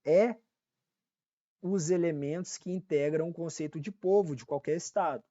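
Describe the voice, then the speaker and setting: A man's voice: fairly high pitch, normal speed, dark tone, no room sound, dull audio. A man with a Brazilian Portuguese accent giving a casual online law lecture, repeating key points, checking in with his listeners and correcting his own slips. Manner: casual, repetitive teaching with frequent check-ins and self-corrections. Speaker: law teacher. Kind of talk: online exam-prep lecture.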